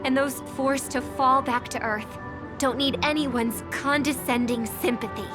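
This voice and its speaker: A devout gentle young singer. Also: female voice, high voice, steady tone, measured pace. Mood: solemn. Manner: lyrical, prayer-like delivery. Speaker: game character. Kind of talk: solemn game cutscene monologue. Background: score music.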